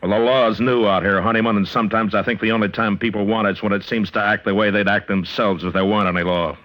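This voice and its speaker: Actor as deep-voiced stern American frontier lawman. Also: fairly even intonation, natural voice. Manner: grave, deliberate, plain-spoken. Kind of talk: old-time radio drama acting. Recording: dull vintage radio recording.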